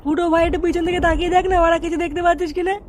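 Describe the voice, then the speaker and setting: A performer voicing a jumpy, irritable young village lad in a comedy cartoon, in a squeaky pitched-up voice. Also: little pitch movement, very fast. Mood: nervous. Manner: swearing, exasperated. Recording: clear background noise.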